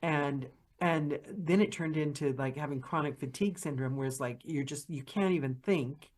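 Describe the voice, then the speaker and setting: A woman's voice: deep voice, moderate pace, darkish tone. A woman, a narrator hosting an interview, speaking in an emphatic, earnest way. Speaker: podcast host and narrator. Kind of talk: casual interview chat.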